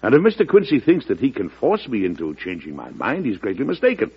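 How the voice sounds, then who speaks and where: An actor playing a resolute, dignified president in an old-time radio drama, speaking in a formal, declamatory and emphatic way. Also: male voice, mid-range voice, huge pitch swings, fast talker, husky, exaggerated voice. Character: resolute, dignified male president late in his second term. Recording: dull vintage radio recording.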